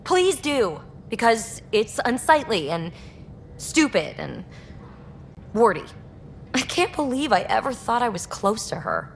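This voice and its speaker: Young snarky rogue explorer gal, video game, candid n animated, bit of rumbly noise behind. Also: female voice, unhurried. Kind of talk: video game dialogue acting.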